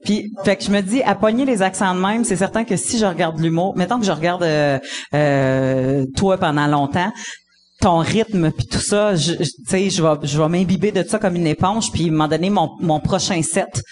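A woman's voice: on the low side, moderate pace, darkish tone. A woman with a Quebec French accent, a comedian chatting casually and laughing often, with many restarts. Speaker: comedian guest. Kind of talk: casual podcast chat.

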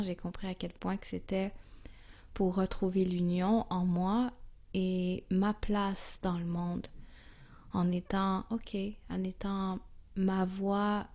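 A woman with a Quebec French accent speaking as an interview guest, calm and reflective, searching for her words. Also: lowish voice. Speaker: interview guest, yoga and music teacher. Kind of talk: reflective, casual interview talk.

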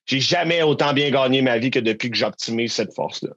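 A man's voice: medium pitch, brisk, fairly bright tone, no echo, lo-fi sound. A man in his thirties with a Québécois accent, co-hosting a business podcast in a candid, emphatic, slangy way. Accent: Québécois French. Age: 30s. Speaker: podcast co-host. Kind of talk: casual business chat.

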